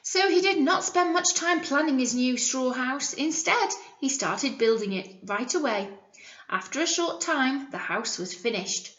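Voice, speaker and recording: A British woman, a primary school teacher, reading a picture book aloud to young children and pausing to explain and ask simple questions. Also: high voice, animated, a bit bright, roomy sound, dull audio.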